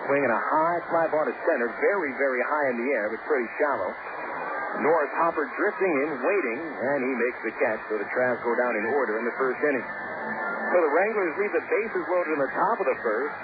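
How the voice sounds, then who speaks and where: A man doing relaxed play-by-play baseball radio commentary, calling pitches and reading statistics in a conversational way. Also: a little fast. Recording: tinny narrowband radio with hiss.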